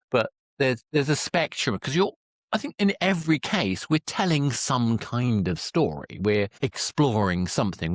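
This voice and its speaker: A man's voice: lowish voice, no room sound. A man hosting a podcast interview, thinking aloud in an analytical, conversational way. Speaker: podcast host.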